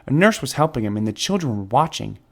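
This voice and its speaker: A man narrates a children's storybook. His medium-pitched voice moves up and down freely, and he leans on key words with dramatic emphasis. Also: big pitch swings, fast, gravelly. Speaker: children's book reader. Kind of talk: expressive scripted storybook narration.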